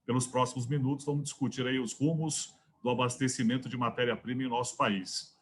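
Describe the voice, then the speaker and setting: A man's voice: mid-range voice, steady tone, breathy edge. A man hosting as association president, formally introducing a guest and asking questions. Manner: formal, measured. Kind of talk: scripted intro then interview questions.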